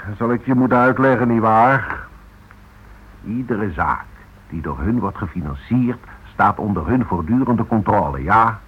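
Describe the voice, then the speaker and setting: An actor playing a domineering, sarcastic boss of a shady firm in a Dutch radio play, lecturing and bullying. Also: male voice, on the low side, animated, normal speed, a little hiss or hum, lightly acted. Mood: smug, lecturing. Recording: dull lo-fi radio recording.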